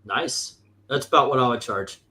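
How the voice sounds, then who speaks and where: A man's voice: medium pitch, moderate pace, sharp tone. A man chatting casually about comic books in an easygoing, conversational way. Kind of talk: casual comic book chat.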